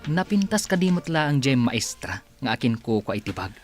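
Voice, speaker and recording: An actor as a teasing, animated young cousin. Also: male voice, mid-range voice, fairly quick, soft background music, natural voice. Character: teasing, playful young male cousin, nosy about romance. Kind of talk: radio drama acting.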